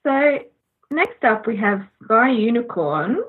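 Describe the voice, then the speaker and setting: A woman's voice: a little high, very animated, takes it slowly, husky. A woman co-hosting a podcast, casually introducing listener questions, heard over a thin, narrow line. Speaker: podcast co-host.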